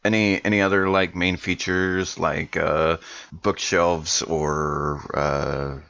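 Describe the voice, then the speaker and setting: A man's voice: low-pitched, level tone, slow pace, creaky, sharp tone. A man playing a tabletop roleplaying game, chatting casually in and out of character, on a slightly dull recording.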